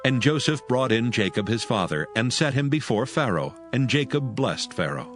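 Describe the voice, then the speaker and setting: A man narrating a dramatized scripture reading in a solemn, expressive way. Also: medium pitch, moderate pace, soft background music.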